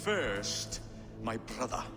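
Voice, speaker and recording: A man playing a proud, vengeful British king in a video game, slow and declamatory with contempt. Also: mid-range voice, sing-song. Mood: cold contempt. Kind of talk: dramatic video game acting. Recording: long hall reverb, loud music.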